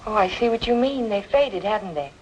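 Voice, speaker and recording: An actress playing an earnest, insistent woman in an old film noir drama, speaking emphatically and deliberately. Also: mid-range voice, animated, a little mellow, muffled recording, natural voice. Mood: probing, concerned. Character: earnest, insistent woman reasoning with a troubled man. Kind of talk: mid-century film noir drama acting. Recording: noisy old film soundtrack, hissy.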